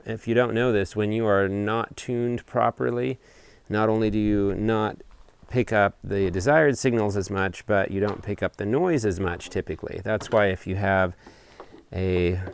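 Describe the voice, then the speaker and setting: A man presenting a casual explanatory hobby video update outdoors, talking in deliberate, measured phrases. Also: fairly low pitch, slight background noise. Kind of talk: casual explanatory vlog talk. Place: outdoors on a house deck.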